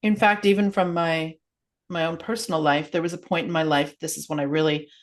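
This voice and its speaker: A woman hosts an informal podcast in a slightly low-pitched voice. She talks in a chatty, expressive way, as in a relaxed conversation with a guest. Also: fairly quick. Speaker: podcast host.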